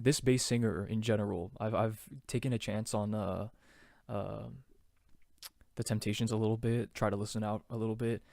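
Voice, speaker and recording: A man's voice: fairly low pitch, vocal fry, darkish tone, no room sound, clean studio sound. A man teaching a song's vocal harmonies in a loose, chatty way, with many fillers and restarts. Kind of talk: casual harmony tutorial.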